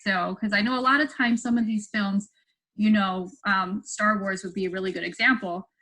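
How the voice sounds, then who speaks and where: A woman on a video call, casually and haltingly putting a question to a speaker. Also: mid-range voice, steady tone, sharp tone, rough recording. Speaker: audience member asking a question.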